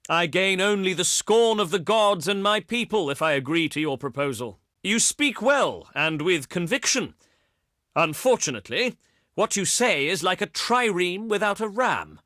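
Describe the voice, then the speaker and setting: An actor playing a proud, eloquent ancient Greek diplomat in a strategy game, declaiming ornate phrases in a pompous, theatrical manner. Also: male voice, high-pitched, sharp tone, no echo, studio quality, heavily acted.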